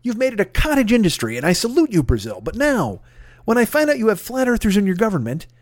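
A man's voice: sits high, huge pitch swings, quick pace, no echo, studio quality. A man hosting a podcast, delivering a profane, sarcastic comedic rant. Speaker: podcast host.

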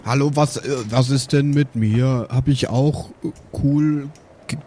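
A man playing a tabletop role-playing game, improvising in a casual, halting way. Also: medium pitch, dark tone, slight background noise.

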